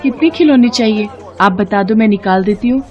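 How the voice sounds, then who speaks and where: A woman playing a caring, devout poor village wife who sells toys, with a gentle, pleading manner in a moral-story cartoon. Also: medium pitch, fairly quick, dull audio, a faint crowd, close to an everyday voice. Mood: polite.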